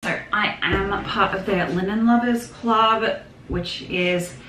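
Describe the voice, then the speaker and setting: A woman's voice: medium pitch, fairly even intonation, slow, fairly bright tone, soft background music. A young woman from Australia chatting casually on camera in her home craft room, rambling a little and laughing often as she talks. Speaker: vlogger. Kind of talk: casual shopping haul chat. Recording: echoey room with background noise.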